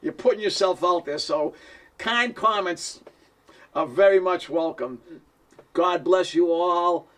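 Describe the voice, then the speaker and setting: A man with a heavy Boston accent talks to camera about his music in an easy, rambling way. He pokes fun at himself and laughs lightly as he goes. His voice sits a little high and sounds a few steps back from the microphone. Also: takes it slowly, a bit bright, distant mic. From Boston, USA. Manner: chatty and self-deprecating, chuckles often. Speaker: musician and producer. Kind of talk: casual direct-to-camera talk.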